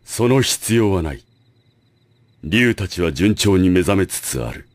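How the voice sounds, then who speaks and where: A man playing a calm, scheming school director, measured and formal, in an anime audio drama. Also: deep voice, no room sound. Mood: calm, calculating. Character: calm, calculating male school director with secret plans.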